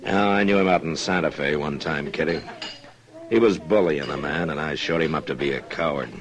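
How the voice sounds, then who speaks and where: An American man acting a weary, steady middle-aged frontier marshal in an old-time radio western, speaking tersely. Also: extremely low pitch, brisk. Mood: grave. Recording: old radio drama with music behind.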